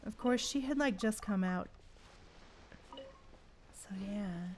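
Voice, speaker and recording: A woman livestreamer chatting casually in a slangy, animated way while gaming. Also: mid-range voice, slow pace, slight background noise. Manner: chatty, animated retellings with frequent slangy exclamations.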